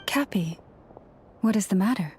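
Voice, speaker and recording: A woman playing a serene, devout young priestess and healer in a video game, speaking in a gentle, measured, formal way with quiet authority. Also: medium pitch, lively intonation, a little hiss or hum, natural voice. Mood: concerned, urgent.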